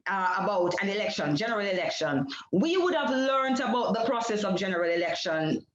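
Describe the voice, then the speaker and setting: A woman's voice: mid-range voice, very animated, reverberant, muffled recording. Jamaican woman presenting an academic seminar. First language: Jamaican Creole. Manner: measured and explanatory, with frequent fillers and self-corrections. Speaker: PhD candidate presenting research. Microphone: video call.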